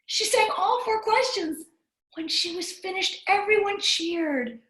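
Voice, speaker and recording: A woman reading a storybook aloud to young children in an animated, expressive way, breaking off to chat with them. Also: very high voice, measured pace. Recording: roomy, echoing livestream sound.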